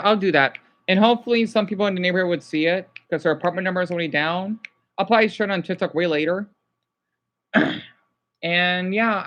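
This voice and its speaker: A man chatting casually to live viewers as a streamer, rambling and halting as he jumps between thoughts. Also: high voice, a bit bright, lo-fi sound. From United States.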